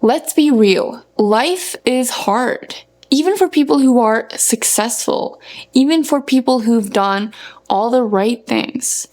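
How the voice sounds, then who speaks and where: Young woman, fairly high voice, earnest, emphatic phrasing, motivational coaching talk. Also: slow, a little mellow. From Norway. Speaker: running coach and content creator. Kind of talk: scripted motivational talk to viewers.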